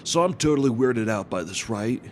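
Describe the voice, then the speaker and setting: A man's voice: mid-range voice, animated, fairly quick, a little mellow, slight background noise, natural voice. A man playing a good-natured, laid-back surfer dude in an audio drama, rambling through stories in slang.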